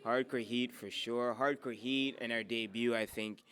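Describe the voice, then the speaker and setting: Male wrestler, energetic interviewee, bright tone, quick to laugh. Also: mid-range voice. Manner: enthusiastic, laughs often. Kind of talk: casual interview.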